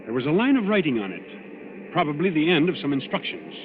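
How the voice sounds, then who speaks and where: A man's voice: on the high side, very animated, brisk. An actor playing an earnest, alert young man in a 1940s radio thriller. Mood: grave, pressing. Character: earnest, alert young male airline passenger and navigator. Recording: muffled, noisy old radio recording.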